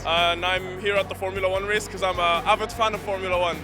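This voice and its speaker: High-voiced enthusiastic man at a loud event. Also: level tone, quick pace, music in the background. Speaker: race fan.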